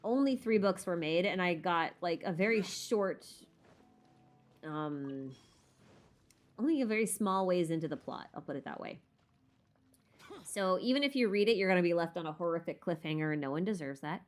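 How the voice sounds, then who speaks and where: A woman's voice: lowish voice, animated, normal speed, long pauses. A woman hosting a casual live Q&A stream while gaming, chatting in a playful, self-deprecating, rambling way with muttered asides.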